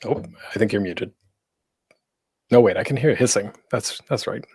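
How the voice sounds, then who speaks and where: A man's voice: fairly low pitch, normal speed, a little mellow, breathy edge. A man presenting a casual online panel as a podcaster, speaking off the cuff in a rambling, self-deprecating, jokey way.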